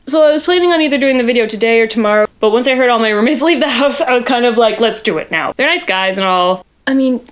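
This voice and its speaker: A young woman with a loud voice chatting casually in her bedroom while doing a makeup tutorial, rambling and poking fun at herself. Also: a little high, quick pace, few pauses. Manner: chatty, rambling, self-deprecating, talks to viewers while working. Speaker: makeup vlogger.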